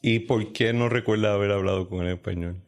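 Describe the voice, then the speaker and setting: A male podcast host with a fairly low voice. He builds long, probing questions around his guest's experience and takes his time getting to the point. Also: steady tone, a little fast. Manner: asks long, searching questions. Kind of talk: interviewing.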